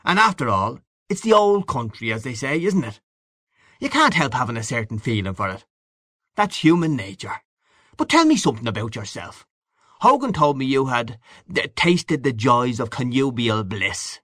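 A man's voice: mid-range voice, sing-song, normal speed, fairly bright tone, dry recording, clean studio sound. A man voicing a brash, worldly Irishman in an audiobook, boastful and hearty, patronising an old friend with emphatic delivery. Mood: expansive, knowing. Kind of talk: literary audiobook character dialogue.

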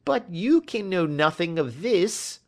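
Man performing a small cringing man, high pitch, swooping intonation, whiny, quavery, pleading, storybook character. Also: big pitch swings, takes it slowly, full character voice. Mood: frightened, quavering. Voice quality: whining, quavering. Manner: nervous, pleading. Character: cringing little rat-faced man, whining and frightened.

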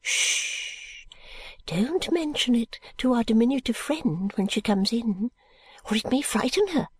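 A woman voicing a frail, rambling old woman who talks in high fluttering swoops and drops into secretive whispers. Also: expressive, measured pace, breathy edge, no echo. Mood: confiding.

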